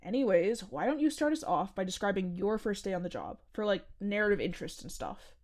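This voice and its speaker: A woman's voice: mid-range voice, very animated, brisk, darkish tone, natural voice. A woman playing a chatty, earnest seventeen-year-old boy who hosts a podcast, casual and insistent, in an audio drama. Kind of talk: audio fiction podcast acting, conversational.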